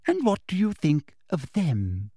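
A man voicing a smug, sickly-sweet older woman school official who speaks slowly and over-enunciates. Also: on the high side, huge pitch swings, no room sound, voice pushed up, exaggerated voice. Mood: condescending. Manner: ringing, loud, slow, over-enunciated delivery.

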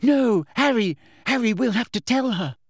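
A man's voice: high-pitched, expressive, moderate pace, breathy edge, no echo, clean studio sound, pitched above the performer's own voice, heavily acted. A man voicing a clever teenage schoolgirl who pleads desperately. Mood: pleading.

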